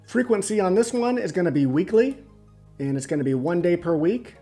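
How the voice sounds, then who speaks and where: A man presenting a step-by-step software tutorial, explaining things methodically as he goes. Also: high voice, lively intonation, brisk. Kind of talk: step-by-step screen tutorial narration. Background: background music.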